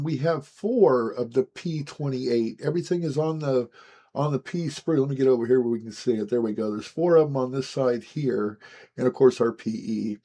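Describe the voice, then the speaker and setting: A man with a hoarse, raspy voice as he gets his voice back, hosting a casual, chatty walkthrough of building a scale model. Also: a little high. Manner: relaxed, chatty, frequent "guys" and "um". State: hoarse, recovering from lost voice.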